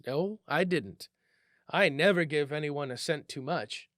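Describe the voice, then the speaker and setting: Man doing a shrill, wry little old man. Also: unhurried, a bit bright, no echo, studio quality. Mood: dry, modest. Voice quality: shrill, whistling through front teeth. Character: thin, shabby old man, shrewd but kindly. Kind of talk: storybook reading.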